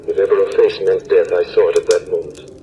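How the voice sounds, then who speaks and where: A man's voice: deep voice, flat delivery, fast talker, warm tone, close to an everyday voice. An actor playing an earnest, anguished doctor who narrates a horror tale from his diary in dramatic 1930s radio style, on an old noisy broadcast recording. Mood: hushed dread.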